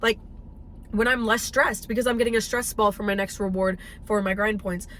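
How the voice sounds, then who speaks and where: A woman's voice: medium pitch, steady tone, normal speed, fairly bright tone. A woman in her twenties chatting casually and emphatically while driving, blunt and swearing freely. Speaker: fitness vlogger. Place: in a car. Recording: faint car noise behind.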